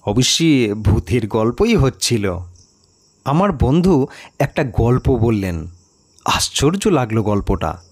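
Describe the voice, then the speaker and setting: Male audiobook narrator, unhurried delivery, lively pitch movement, dramatic storytelling. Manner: measured, expressive storytelling with pauses. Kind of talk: dramatic audiobook narration of a first-person mystery tale.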